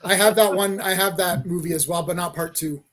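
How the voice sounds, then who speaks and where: A man with a high-pitched, forceful and bright voice talks casually as part of a film panel. His delivery is informal and conversational. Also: steady tone, fairly quick. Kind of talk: casual chat.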